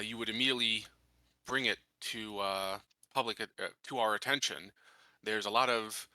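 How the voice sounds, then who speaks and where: A man playing a tabletop roleplaying game, improvising dialogue deliberately and pausing to pick his words. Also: on the low side, bright, forceful tone, dry recording. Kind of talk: casual in-character improvised dialogue and game talk.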